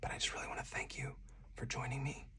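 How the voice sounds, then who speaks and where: Hushed whispering ASMR game host. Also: fast talker. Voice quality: soft breathy whisper. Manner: hushed, narrating each move aloud step by step. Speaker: host of a solo card game channel.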